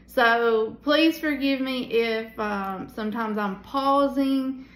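A tired woman in her forties leading a casual Bible study from a small room at home, talking into a phone held at arm's length, with many pauses and fillers. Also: a little high, a bit bright, roomy sound. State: tired at the end of the day. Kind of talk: casual devotional teaching. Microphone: phone camera at arm's length.

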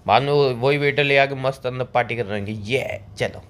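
A man's voice: mid-range voice, a little fast, a bit bright, natural voice. A young man playing a cheeky, broke boyfriend in a phone-call drama, argumentative and colloquial as he justifies himself. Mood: exasperated storytelling. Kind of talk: scripted comic phone-call drama between a couple. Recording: clear background ambient noise.

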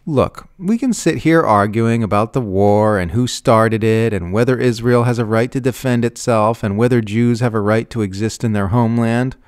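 A man's voice: medium pitch, moderate pace, few pauses, clean tone, dry recording, clean studio sound, voice pushed up, close to an everyday voice. A man playing a stern, dismissive old grandfather who scolds and placates his family. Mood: placating.

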